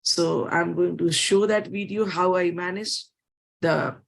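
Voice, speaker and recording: A woman's voice: on the low side, fairly even intonation, measured pace, vocal fry. A woman doctor with an Indian English accent introducing her talk at a conference, hesitant with many fillers. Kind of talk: conference presentation. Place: conference hall.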